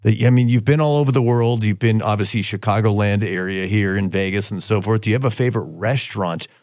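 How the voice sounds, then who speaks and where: A man's voice: lowish voice, quick pace, a little mellow, dry recording, clean studio sound. A man hosting a radio business show, talking conversationally with joking asides.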